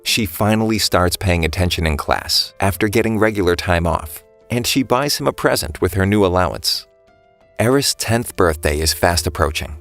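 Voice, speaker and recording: Very deep-voiced man narrating a story recap. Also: expressive, moderate pace, gravelly, fairly bright tone, soft background music. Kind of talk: scripted storytelling narration, plot summary.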